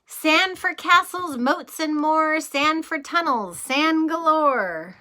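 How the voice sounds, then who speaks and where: Bright-voiced teacher reading a picture book slowly. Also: female voice, sits high. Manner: slow, sing-song rhyming reading with gentle questions to children. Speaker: kindergarten teacher. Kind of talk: picture-book read-aloud for young children.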